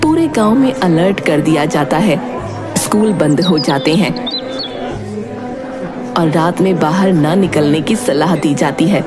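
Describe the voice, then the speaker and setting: A woman's voice: low voice, lively intonation, unhurried, pauses often. A woman narrating a scripted horror cartoon story over music.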